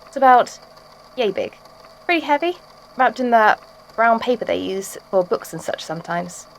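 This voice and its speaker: A woman's voice: medium pitch, gravelly, natural voice. Woman playing a wry ghoulish ex-teacher, noisy recording. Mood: chatty, wry. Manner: chatty, wry, matter-of-fact confessional storytelling. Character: eerily casual former schoolteacher turned obsessive macabre artist. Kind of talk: audio drama statement monologue.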